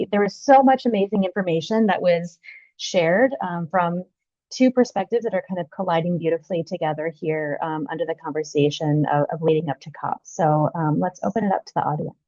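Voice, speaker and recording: A woman's voice: on the low side, mellow, no echo. Woman moderator on dull lo-fi call audio. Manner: friendly, with frequent "um" fillers. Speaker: webinar moderator. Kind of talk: moderating a webinar.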